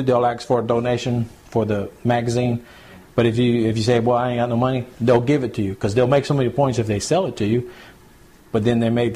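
A husky, mellow-voiced man with a drawl leads a home Bible study. He talks plainly and conversationally, circling back to repeat his points and checking in with the group. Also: mid-range voice. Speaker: pastor. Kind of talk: home church Bible teaching. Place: small home gathering, recorded to CD.

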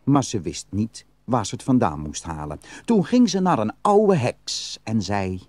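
Animated, mellow male storyteller. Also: lowish voice, unhurried, no echo. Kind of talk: expressive fairytale narration. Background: music.

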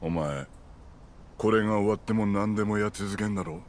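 An actor playing a rough, warm-hearted fighter in a Japanese video game cutscene, speaking in blunt masculine slang. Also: male voice, on the low side, brisk, slight background noise, close to an everyday voice. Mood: low, reflective. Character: rough, warm-hearted tough male fighter, loyal comrade. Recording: music behind the dialogue.

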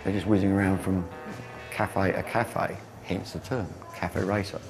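A man's voice: low voice, steady tone, measured pace, over music. A man interviewed in a documentary, recalling in vivid, clipped phrases.

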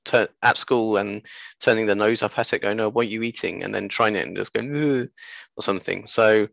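A man in his thirties with a lowish, gravelly voice, recorded dry on a headset. He chats as an interview guest, stopping and restarting as he tells anecdotes. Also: little pitch movement, fairly quick, fairly bright tone, dry recording. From born in Japan, later moved away. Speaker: interview guest, seaweed business co-founder. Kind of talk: casual interview chat. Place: small room at home, video call. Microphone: headset on a video call.

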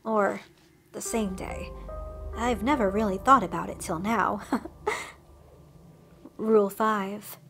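A woman's voice: mid-range voice, fairly even intonation, takes it slowly, creaky, pauses often, fairly bright tone, faint music behind. A woman voicing a friendly male woodland cryptid, an ancient creature with rotting flesh who chats and reassures with wry asides. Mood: chatty. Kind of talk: scripted storytelling monologue.

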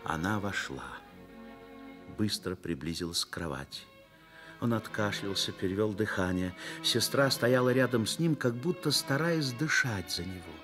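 A man narrating a Soviet radio drama as an earnest literary storyteller, expressive and measured, swelling and dropping to a hush. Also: lowish voice, over music, close to an everyday voice. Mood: earnest, reflective.

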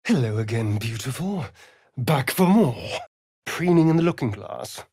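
A man's voice: mid-range voice, animated, a little slow, a little mellow, husky, dry recording, clean studio sound. An actor playing a sardonic, vain male vampire rogue in a video game, with a British accent and an arch, drawling, theatrical delivery. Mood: sly, teasing. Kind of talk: video game character voice lines.

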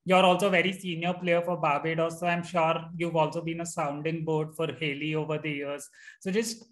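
Higher-voiced young man hosting an interview. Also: level tone, fairly quick, fairly bright tone. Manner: long scripted questions. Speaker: host. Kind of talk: video call interview. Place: indoor room. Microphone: video call.

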